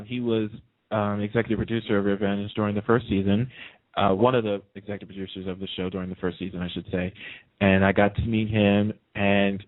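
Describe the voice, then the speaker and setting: A man who works as a radio host, chatting casually and telling fan anecdotes in an excited, dramatic way. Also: on the low side, no echo, phone-quality sound.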